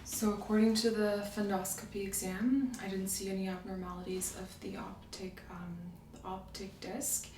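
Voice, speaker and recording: A soft-spoken woman in an ASMR medical roleplay, gently explaining exam steps as the examiner. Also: mid-range voice, flat delivery, normal speed, hardly any pauses. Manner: gentle, step-by-step clinical explaining. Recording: roomy echo, distant mic, faint noise.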